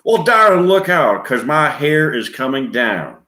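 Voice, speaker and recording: A man's voice: mid-range voice, sing-song, unhurried, sharp tone, pitched above the performer's own voice. A man voicing a dramatic, sassy Southern woman with a Southern US accent, in a roomy recording.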